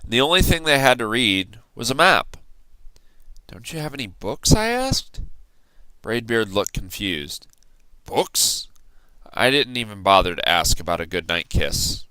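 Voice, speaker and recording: A man reading a children's picture book aloud as a storyteller, with expressive, theatrical phrasing. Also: fairly low pitch, normal speed, smooth, dry recording, rough recording.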